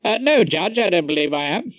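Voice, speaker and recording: A man's voice: high voice, sing-song, brisk, fairly bright tone, no room sound, voice pushed up. A man voicing a wry, irreverent older male barrister in an audiobook, dry and droll. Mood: weary, dry.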